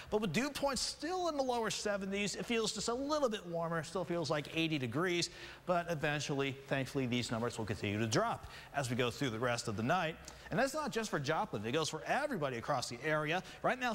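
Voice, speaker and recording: American man, weather forecaster, fairly high voice, conversational broadcast delivery, emphasis on key words, reverberant studio. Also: a bit bright. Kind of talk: broadcast weather forecast, semi-scripted presenting. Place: TV news studio.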